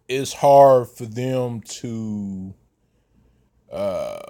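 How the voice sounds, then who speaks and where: Man, 30s, vlogger talking slow with lots of "uh"s, lowish voice. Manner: slow, halting, many fillers and restarts. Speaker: vlogger giving commentary. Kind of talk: casual commentary.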